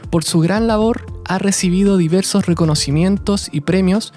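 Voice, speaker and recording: A woman co-hosting a podcast who reads a scripted introduction in a measured way. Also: medium pitch, dark tone, soft background music. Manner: measured, read-aloud delivery. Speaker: podcast co-host.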